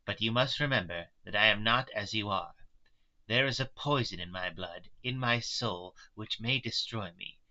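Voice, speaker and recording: An anguished, resolute wife from a gothic storybook as read aloud by a man. The delivery is earnest and pleading, in a very bright, tense voice. The recording is somewhat dull and lo-fi. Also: fairly low pitch, normal speed. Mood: solemn, pleading.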